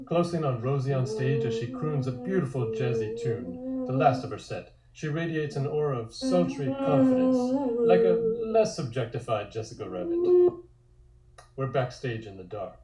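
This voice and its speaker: A man's voice: medium pitch, big pitch swings, moderate pace, over a noisy crowd. A man reading scripted comic narration and stage directions in an echoey room.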